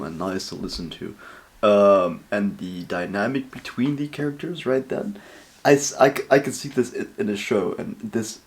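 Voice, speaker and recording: A young man hosting a casual reaction video, excitable and rambling, swearing often. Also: lowish voice.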